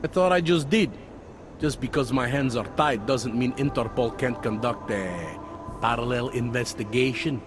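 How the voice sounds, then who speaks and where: An actor voicing a world-weary, pragmatic police detective in a video game, with a wry, insinuating manner. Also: male voice, medium pitch, normal speed, music in the background, natural voice. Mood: confiding, sly. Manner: wry, insinuating, tags questions with "eh" and "huh". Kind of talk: video game cutscene dialogue.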